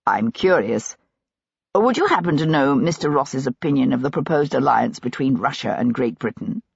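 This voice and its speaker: Brief: reserved young male noble voiced by a woman reader, gravelly and husky, low and clipped. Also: animated, moderate pace, no echo, lo-fi sound, full character voice. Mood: terse. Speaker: audiobook narrator. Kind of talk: audiobook character voicing.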